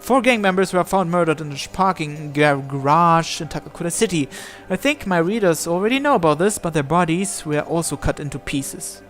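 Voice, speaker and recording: A man's voice: a little high, animated, brisk, hardly any pauses, a bit bright. A man reading visual novel story text aloud as narration, sometimes stumbling over a word and repeating it. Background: background music.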